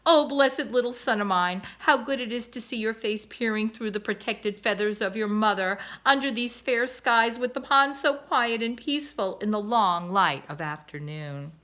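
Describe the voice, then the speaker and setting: A woman voicing a proud, poetic male swan who speaks in slow, flowery phrases. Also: a little high, fairly bright tone, lightly acted. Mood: grandiose.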